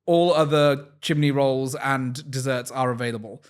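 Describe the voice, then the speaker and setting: A man's voice: mid-range voice, steady tone, normal speed, smooth. A young man with an English accent, co-hosting a podcast in a chatty, jokey, self-deprecating way. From England. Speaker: podcast co-host. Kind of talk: casual chat.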